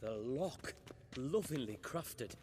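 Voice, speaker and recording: A man's voice: medium pitch, animated, slow, a little mellow, slight background noise. A man playing a fussy, muttering safecracker in a video game cutscene, speaking in precise, repetitive fragments. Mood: admiring. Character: fussy, muttering male safecracker, devoted to locks.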